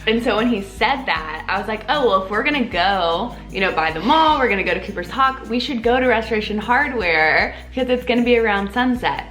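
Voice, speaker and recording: A young woman telling a personal story in a casual chat with her husband, bubbly and giggly, with lively jumps in pitch. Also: a little fast, few pauses, faint music behind. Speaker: vlogger co-host.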